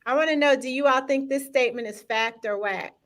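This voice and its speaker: A woman's voice: sits high, brisk. A woman hosting a live-streamed talk show, speaking directly to viewers in an upbeat, engaging way. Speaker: host.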